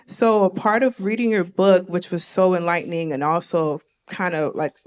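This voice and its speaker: A woman's voice: lowish voice, steady tone, moderate pace, smooth. A young woman from Miami hosting a live stage conversation, talking candidly and laughing easily. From Miami, Florida. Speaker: host. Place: live event stage before an audience.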